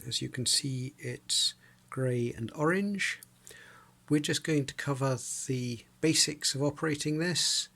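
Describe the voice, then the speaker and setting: A man's voice: medium pitch, measured pace, gravelly, sharp tone. A man giving an informal instructional demonstration, explaining things plainly and methodically, step by step. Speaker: sailing club radio instructor.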